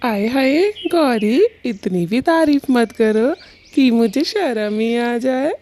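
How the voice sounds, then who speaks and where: A woman voicing a sly, boastful male bird thief, an agreeable husband in a children's cartoon, talking slowly and smugly. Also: fairly high pitch, expressive, warm tone. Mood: smug, pleased. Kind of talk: Hindi children's cartoon dialogue.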